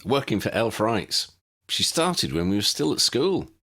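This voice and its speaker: Man reading as a cheeky young wizard, husky voice. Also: fairly low pitch, big pitch swings, a little slow, pushed and bright, exaggerated voice. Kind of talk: audiobook character dialogue.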